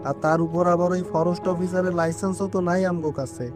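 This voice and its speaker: A man's voice: high voice, steady tone, fast talker, music in the background, lightly acted. A man voicing a timid, worried village fisherman in a cartoon horror story, pleading in a rural Bangladeshi accent. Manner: pleading, colloquial.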